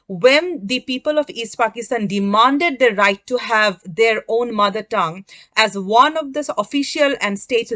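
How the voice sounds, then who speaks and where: A woman's voice: fairly high pitch, fairly bright tone, no room sound. A woman explains human rights in a formal, academic manner for a documentary interview. Her voice sounds dry and close, with no room around it.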